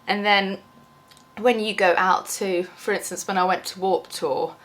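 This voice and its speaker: A woman's voice: medium pitch, steady tone, unhurried, fairly bright tone. A young woman with a cold, chatting in an unscripted, rambling vlog, full of ums and restarts. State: has a cold, losing her voice. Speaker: small YouTuber.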